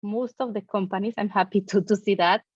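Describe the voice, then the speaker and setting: Female panelist, mid pitch, halting delivery; muffled dry video-call sound. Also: fairly even intonation, husky, no echo. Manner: hesitant, repeats words, spoken in careful English. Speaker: agency leader, panelist. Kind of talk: online panel discussion.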